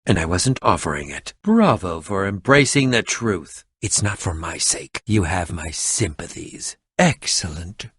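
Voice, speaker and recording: A man's voice: low-pitched, very animated, unhurried, few pauses, a bit bright, slightly rough, no echo, full character voice. A male actor voicing a haughty, scheming, villainous potion-maker in a video game, pompous, sneering and melodramatic, with over-enunciated delivery. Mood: cold, sardonic. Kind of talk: video game character acting.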